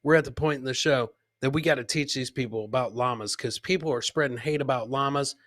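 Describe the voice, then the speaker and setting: A man hosting a casual, boisterous comedy show with loud, joking banter. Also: medium pitch, fairly quick, no echo, clean studio sound. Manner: profane. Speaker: podcast host.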